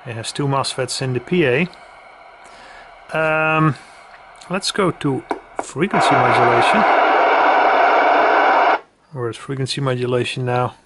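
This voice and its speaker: A man giving a casual hands-on equipment demonstration as a reviewer, speaking haltingly with hesitant pauses. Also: medium pitch. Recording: loud radio hiss and static. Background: radio noise.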